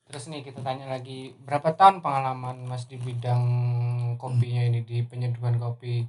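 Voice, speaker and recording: A man's voice: mid-range voice, little pitch movement, fairly quick, darkish tone. A young man from Indonesia hosting a casual podcast interview, asking friendly questions with frequent backchannels. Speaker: podcast host.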